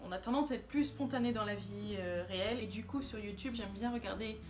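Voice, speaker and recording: A woman's voice: medium pitch, brisk, faint music behind. A woman vlogger talking casually to camera, chatty and hesitant with many fillers.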